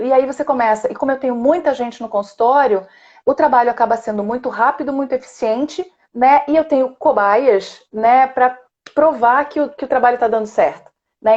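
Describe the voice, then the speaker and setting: A woman's voice: high-pitched, a little mellow, lo-fi sound. A woman speech therapist with a Rio de Janeiro accent, explaining voice technique in a live online chat and tagging her points with "né?". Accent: Rio de Janeiro Brazilian Portuguese. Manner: explanatory, demonstrates sounds, frequent "né?".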